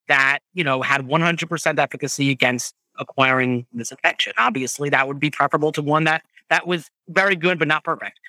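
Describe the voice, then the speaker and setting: A man's voice: on the high side, bright, forceful tone, no echo, rough recording. A man who is a physician, patiently explaining medical topics as an interview guest over a video call. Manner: patient, explains with filler "um" and "right?".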